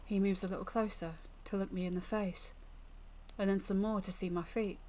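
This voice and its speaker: A woman streamer reading a visual novel aloud as dramatic first-person narration, expressive and deliberate. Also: medium pitch. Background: music.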